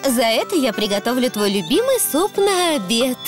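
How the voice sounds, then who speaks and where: Добрую маму-ослицу, очень живые интонации. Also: female voice, high voice, big pitch swings, moderate pace. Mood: consoling. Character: kindly mother donkey, gentle and consoling. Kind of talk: children's cartoon dubbing. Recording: music behind the voice.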